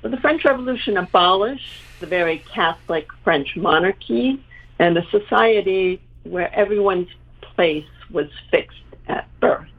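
A woman history professor explaining as a panel guest in a measured, lecturing way. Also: on the low side. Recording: tinny phone-like line.

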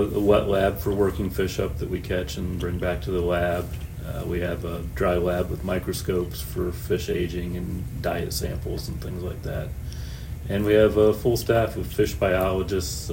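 A man in his forties from Northwest Ohio, a fisheries administrator answering interview questions in a measured way with frequent pauses and restarts. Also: deep voice, vocal fry, darkish tone, a little hiss or hum, low-quality recording.

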